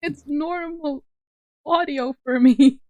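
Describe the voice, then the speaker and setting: A woman streamer chatting on a call, soft-spoken and laughing often. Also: high-pitched, slow pace, no echo. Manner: giggly, laughs often, speaks softly. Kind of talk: casual livestream chat on a call.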